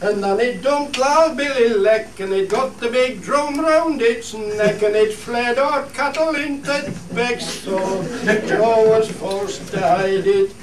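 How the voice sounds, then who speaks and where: A man's voice: extremely high pitch, slow pace. A man acting a homely country singer with a Cumbrian accent, performing a comic dialect ballad in a radio play. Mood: droll storytelling. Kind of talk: dialect radio play, spoken verse with sung choruses. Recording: noisy, echoey room, distant microphone.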